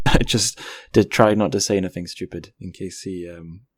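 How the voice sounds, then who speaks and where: Young man, low voice, dry close sound, informal podcast interviewer, self-deprecating. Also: fairly even intonation, moderate pace, no echo. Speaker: podcast host. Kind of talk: casual interview.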